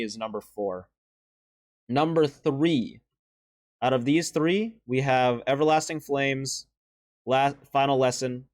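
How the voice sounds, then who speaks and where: A young man with a deeper voice giving casual, earnest and emotional commentary as he ranks favorite animated shorts, with sighs and restarts. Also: dry recording. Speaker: video creator ranking animated shorts.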